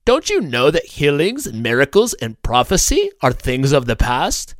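A man plays a pompous turkey scholar from a storybook. The delivery is fancy and condescending, with lively swoops in pitch. The sound is close and dry. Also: normal speed, no room sound. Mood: pompous, scolding. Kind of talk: children's story character voice.

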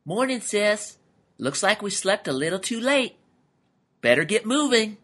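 A man's voice: fairly high pitch, very animated, normal speed, a bit bright, breathy edge, voice pushed up, full character voice. A man voicing a cheerful, polite male camel with a friendly, courteous manner in a storybook.

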